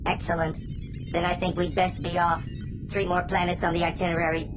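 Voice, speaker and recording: A woman's voice: fairly low pitch, monotone, brisk, music in the background, lightly acted. A woman playing a cool, detached alien scientist with a clipped, matter-of-fact manner in a radio drama.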